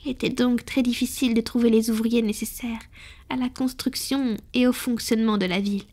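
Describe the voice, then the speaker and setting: A woman voicing a centuries-old female vampire in an intimate scripted roleplay, soft, breathy and confiding, with measured delivery and whispered touches. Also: fairly high pitch, fairly even intonation. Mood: calm, proud reminiscing.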